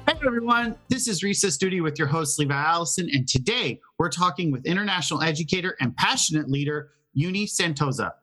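A man speaking as the host of a podcast, addressing his listeners in clear, organised phrases. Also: a little high, lively intonation, fast, few pauses, pushed and bright, faint music behind. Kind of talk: conversational podcast hosting.

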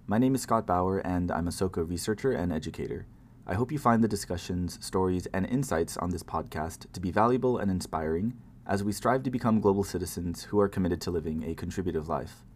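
A man's voice: deep voice, brisk, a little mellow, slight background noise. A man hosting a podcast, introducing his guest in a casual, friendly way with lots of fillers and restarts. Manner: friendly, rambling with many fillers. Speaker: podcast host, researcher and educator.

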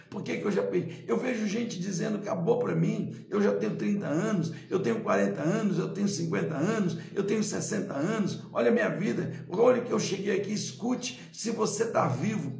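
A man's voice: high voice, creaky, darkish tone, reverberant. A male pastor preaching a sermon with emphatic, repeated exhortations.

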